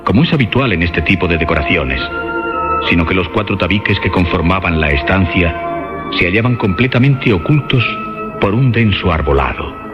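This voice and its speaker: A man narrating a suspense radio play in a measured, literary, dramatic style. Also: low-pitched, animated. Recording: dull band-limited radio sound with music. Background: clear music.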